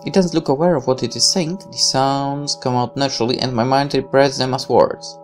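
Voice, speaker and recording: A woman's voice: a bass voice, steady tone, brisk, a little mellow, soft background music. A woman playing a visual novel, reading the text aloud and dropping irreverent, sarcastic asides. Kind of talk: game playthrough, reading text aloud with offhand commentary.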